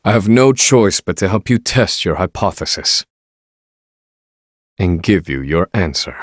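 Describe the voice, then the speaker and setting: A man voicing a grave, literal-minded scholar of magic in a video game, speaking in a formal, measured and stern way. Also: low-pitched, lively intonation, no echo, clean studio sound. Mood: dry, resolute. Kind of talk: dramatic video game voice acting.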